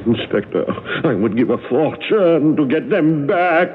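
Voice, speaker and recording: An actor playing an agitated, determined wealthy count in an old radio drama. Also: male voice, a little high, lively intonation, a little slow. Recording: lo-fi 1970s radio broadcast, faint noise.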